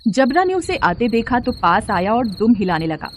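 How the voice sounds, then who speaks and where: A woman narrating a literary story as an expressive scripted reading. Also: on the high side, normal speed. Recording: steady insect-like background noise.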